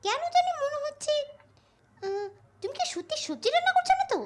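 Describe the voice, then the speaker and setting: Casting: a childlike puppet, extremely squeaky and rapid, playful, nagging and impatient. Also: big pitch swings. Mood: sneaky, curious. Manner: pleading, teasing, repeats words in quick bursts.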